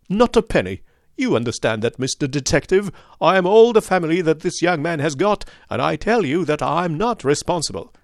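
A man voicing a querulous little old nobleman, miserly and indignant, with a sharp crackling voice and quick, intense, scolding manner. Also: fairly high pitch, dry recording, clean studio sound, pitched above the performer's own voice.

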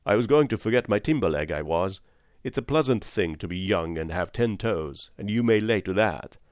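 A man voicing a wily old one-legged pirate cook who talks in a folksy, rough sailor's way. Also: fairly low pitch, expressive, normal speed, dry recording, studio quality, natural voice. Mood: wheedling.

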